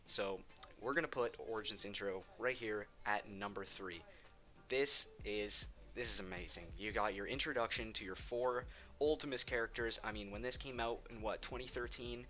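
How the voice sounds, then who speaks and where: A man's voice: medium pitch, pushed and bright. A man giving casual, opinionated countdown commentary on video games, enthusiastic and emphatic, repeating words for stress. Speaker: video game ranking host.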